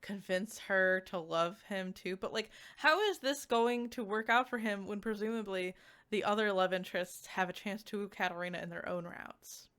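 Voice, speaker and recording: A woman giving a casual, conversational review to viewers, animated and peppered with "like" asides. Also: mid-range voice, moderate pace, clean tone. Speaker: visual novel reviewer.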